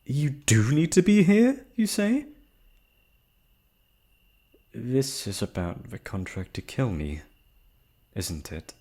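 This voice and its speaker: A man's voice: mid-range voice, big pitch swings, slow pace, long pauses, mellow, voice pushed up. A man acting a shy, proud, wistful noble prince in a romantic fantasy roleplay, with hesitant, formal, sighing speech. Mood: wry, probing.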